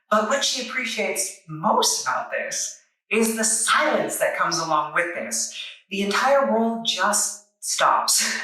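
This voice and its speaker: Female reviewer, bright and wry, recorded in a very echoey room a bit away from the mic. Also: medium pitch. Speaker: comic book reviewer, video host. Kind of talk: semi-scripted review narration. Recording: echoey room, distant microphone.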